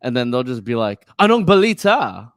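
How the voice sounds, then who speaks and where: Lively, joking young man. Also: mid-range voice, moderate pace, no echo, studio quality. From United States. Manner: playful, laughs often, rambling with fillers. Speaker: podcast co-host. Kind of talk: casual joking chat.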